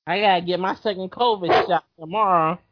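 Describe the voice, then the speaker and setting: A woman's voice: fairly low pitch, brisk, heavy background noise. A woman joking in slangy casual chat with friends, laughing often. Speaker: group chat participant. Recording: dull lo-fi sound.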